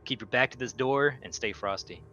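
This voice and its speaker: A man's voice: medium pitch, fairly quick, bright, forceful tone, lo-fi sound. A man chatting casually and profanely as a player in a tabletop game.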